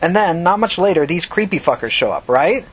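A man's voice: high-pitched, lively intonation, brisk, breathy edge, pitched above the performer's own voice. A man reading the part of a tough, angry, foul-mouthed woman who questions people bluntly like an amateur detective in an audiobook. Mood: insistent. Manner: blunt, pressing, confrontational.

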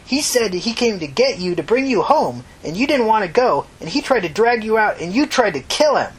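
A woman's voice: medium pitch, sing-song, moderate pace, breathy edge, voice pushed up, low-quality recording. A woman voicing a venomous, scornful woman who accuses emphatically in an audiobook story. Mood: furious, accusing. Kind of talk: audiobook character dialogue.

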